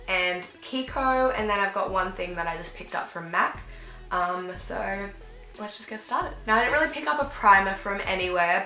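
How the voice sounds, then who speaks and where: A young woman, sick and slightly croaky and nasal, chatting casually through a makeup tutorial while applying products. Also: medium pitch, sharp tone, echoey room. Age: young adult. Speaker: beauty vlogger. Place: dim bedroom with fairy lights, indoors.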